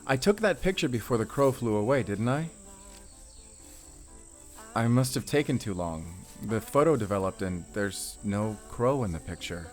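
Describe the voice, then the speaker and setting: A man acting a kind, shy young stranger in an audio roleplay, chatty and soft-spoken with a hesitant manner. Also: on the low side, expressive, moderate pace, soft background music, close to an everyday voice. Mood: puzzled, friendly.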